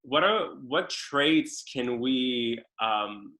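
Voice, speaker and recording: A man's voice: mid-range voice, lively intonation, extremely slow, bright, forceful tone. Man hosting an online interview. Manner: brief, prompting questions. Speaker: host. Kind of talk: casual video-call interview. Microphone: video call.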